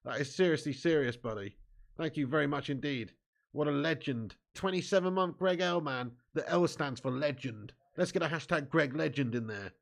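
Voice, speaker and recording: A man's voice: on the high side, normal speed, gravelly. A cheeky young man with an English accent, hosting a football watch-along live stream and bantering while reading viewer comments. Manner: cheeky, banter-filled, laughs often.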